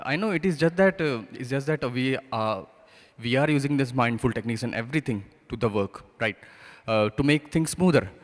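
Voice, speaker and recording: A man asking an unscripted question as an audience member at a conference. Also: mid-range voice. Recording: reverberant hall sound.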